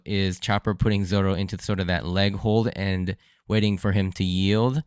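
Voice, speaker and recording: Guy doing his podcast, kinda hoarse but smooth low voice, chatty, super dry close sound. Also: dry recording. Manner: conversational, with frequent fillers and light laughs. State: voice a little hoarse. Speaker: podcast host. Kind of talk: solo podcast episode review.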